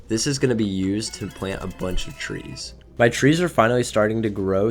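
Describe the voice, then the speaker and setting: A young man with a slightly raspy voice from a cold, casually narrating his gameplay and sometimes tripping over his words. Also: on the low side. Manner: casual, offhand, trips over words now and then. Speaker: gaming video creator.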